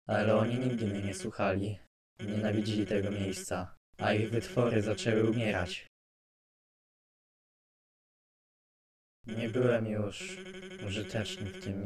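A man playing an excitable, chatty skeleton artist guardian who is prone to brooding, in an animated fan dub with expressive delivery. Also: on the low side, close to an everyday voice. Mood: dejected, bitter.

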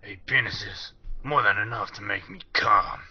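A man's voice: fairly low pitch, unhurried, sharp tone. A man doing a blunt, foul-mouthed, improvised comedy dub as an amateur voice actor. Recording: dull lo-fi sound with music.

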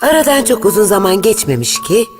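Brief: woman narrator for a cartoon fairy tale, lowish voice, very lively lilt, music clearly behind. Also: fairly quick. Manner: lilting storytelling, lively intonation.

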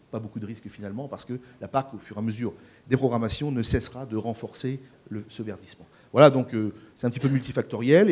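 A man's voice: mid-range voice, darkish tone, husky. Hesitant middle-aged Frenchman on a panel. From France. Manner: hesitant, many fillers and repeated words. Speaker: farmer and panel speaker. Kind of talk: conference panel talk. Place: conference stage before an audience. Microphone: handheld microphone.

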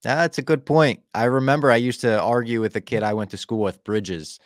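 A man's voice: mid-range voice, a little fast, dry recording, clean studio sound. A man in his forties, co-hosting a podcast in casual, swearing chat over a video call from a room at home. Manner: relaxed, swearing, storytelling. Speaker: podcast host. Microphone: headphones, video call.

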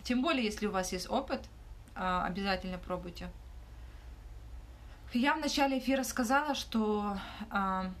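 A young woman hosting a livestream Q&A in a small room, talking casually into her phone and often pausing with fillers. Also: mid-range voice, a bit bright, slight background noise. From Ukraine. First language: not Russian. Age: young adult. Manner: hesitant, frequent "uh" fillers and restarts. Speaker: livestream host answering questions. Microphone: phone held close, front camera.

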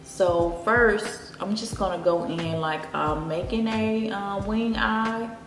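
A young woman with a Memphis accent chatting casually as she does her makeup at home, relaxed and confiding. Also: mid-range voice, slow pace. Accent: Memphis Southern. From Memphis, Tennessee. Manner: relaxed, confiding, drifting between makeup steps and personal chat. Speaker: beauty vlogger. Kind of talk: chatty get-ready-with-me makeup talk. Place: home room with plants. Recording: echoey room, music playing behind.

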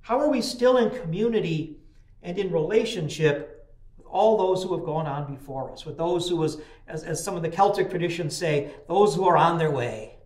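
A man's voice: fairly high pitch, normal speed, darkish tone, echoey room. An older man preaching a midweek sermon in a small church, telling folksy stories with frequent restarts. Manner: folksy storytelling with repeated restarts. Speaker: pastor. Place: small church chapel, indoors.

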